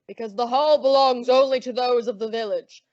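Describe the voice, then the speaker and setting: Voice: medium pitch, steady tone, a little fast, a little mellow, no room sound, close to an everyday voice. An actor playing a defiant, old-fashioned village man in a radio thriller, with archaic, indignant delivery. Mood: defiant, wary. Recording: dry, dull lo-fi audio.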